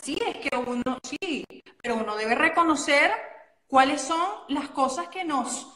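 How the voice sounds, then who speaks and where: A Venezuelan woman, a guest coach and model, talking in a chatty, animated way and often correcting herself mid-sentence. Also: sits high, measured pace, roomy sound. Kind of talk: casual interview chat.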